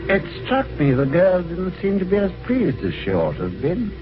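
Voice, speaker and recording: An actor playing a genial, chatty British husband with wry gentlemanly phrasing, in vintage radio drama on an old recording. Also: male voice, high voice, wildly swooping pitch, normal speed, highs cut off, over music. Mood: wry storytelling. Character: genial, chatty, helpful husband telling his adventure. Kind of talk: 1950s radio drama acting and first-person narration.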